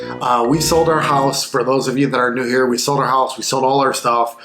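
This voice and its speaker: A man's voice: mid-range voice, little pitch movement, fairly quick, reverberant, music in the background, low-quality recording. A middle-aged man co-hosting a travel vlog, talking in an earnest, reflective way in casual chat. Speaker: vlog co-host.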